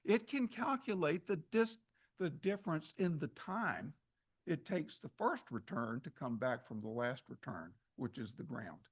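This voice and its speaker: A man's voice: a little high, fairly bright tone, husky. A man presenting on forest ecology to a meeting audience in an informal, conversational way, often restarting phrases and adding wry asides. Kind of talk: informal slide lecture to an audience. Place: meeting hall at an annual meeting.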